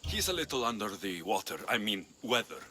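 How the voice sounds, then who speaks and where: A man's voice: fairly low pitch, sing-song, fairly quick, bright, forceful tone, a little hiss or hum. An actor playing a confident, wry male mercenary adventurer in a video game, with a firm delivery. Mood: wry, businesslike. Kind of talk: video game dialogue acting.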